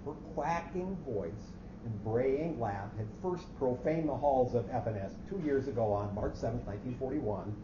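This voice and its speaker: A man's voice: mid-range voice, animated, normal speed, lightly acted. A man playing a fussy, precise office clerk who coolly plots murder, speaking formally in a staged story reading. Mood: indignant, precise. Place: echoey hall at a live reading. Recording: muffled, noisy, echoey hall recording.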